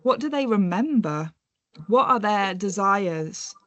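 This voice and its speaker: A woman in her thirties giving an academic conference presentation in a measured, reflective way, slowing down to pose questions. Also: mid-range voice, expressive, fairly bright tone, no echo. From born in England, raised in North America and Europe. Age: 30s.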